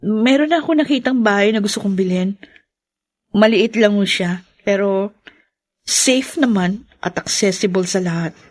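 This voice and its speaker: A woman's voice: mid-range voice, normal speed, pauses often, close to an everyday voice. A young woman with a Filipino accent acting as a wounded but hopeful orphan in a radio drama. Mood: calm, resolved.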